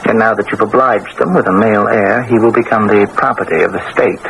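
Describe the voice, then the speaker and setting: A man's voice: fairly low pitch, steady tone, a little fast, vocal fry. A man playing a bitter, detached royal husband in a radio drama, hesitant, cold and resigned. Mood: bitter resignation. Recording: noisy old radio broadcast.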